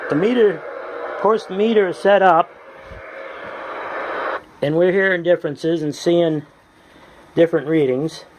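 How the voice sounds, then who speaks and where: A man giving a casual, halting, folksy hands-on demonstration as a radio hobbyist, from the United States. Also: on the high side. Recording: noisy home video with radio sound.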